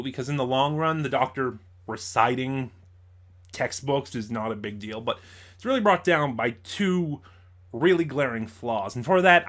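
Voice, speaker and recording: A man's voice: mid-range voice, fairly bright tone. A man reviewing in an informal commentary style, thinking aloud and correcting himself as he goes. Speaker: audio drama reviewer.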